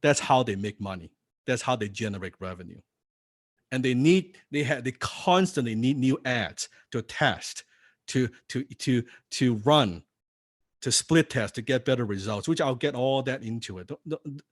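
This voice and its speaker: A man's voice: medium pitch, normal speed, no echo, clean studio sound. A man teaching copywriting in a live seminar, non-native in English, firing rhetorical questions at the audience. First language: not English.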